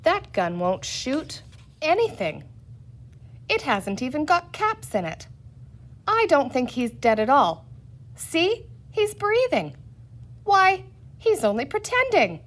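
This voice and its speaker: Woman doing high-pitched skeptical young girl. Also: wildly swooping pitch, slow, pauses often, a bit bright, heavily acted. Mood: puzzled. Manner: dismissive, puzzled.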